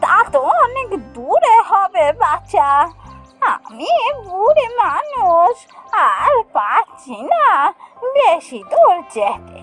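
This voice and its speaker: A very high-pitched old granny from a fairy-tale cartoon, swooping wildly up and down. She grumbles and complains in a drawn-out way, yet stays doting and fond. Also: very animated, a bit bright, faint music behind, heavily acted. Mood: weary, reluctant. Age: older.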